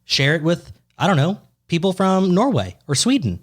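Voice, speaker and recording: A man's voice: a little high, very animated, moderate pace, husky. A man in his twenties co-hosting a comedy podcast, teasing and laughing often in casual chat. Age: 20s. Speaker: podcast co-host.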